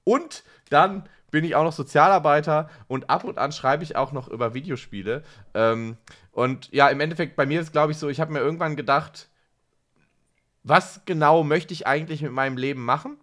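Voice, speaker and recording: A man's voice: on the high side, moderate pace, a bit bright. A man chatting casually as a podcast co-host, self-ironic and joking, given to playful exaggeration. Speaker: podcast co-host, social worker and workshop leader. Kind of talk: casual conversational podcast chat.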